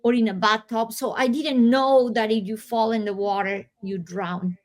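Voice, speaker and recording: A woman from Colombia, slowly and reflectively telling her own story as an interview guest. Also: a little high, steady tone. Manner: slow, reflective, with soft laughs and pauses.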